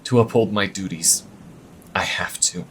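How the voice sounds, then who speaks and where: Male actor as defiant healer and lover, low voice, slow pace, emotional audio drama delivery. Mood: sad, resolute. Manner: intense, emotional, swinging from hushed to shouting. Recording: rain and thunder noise behind.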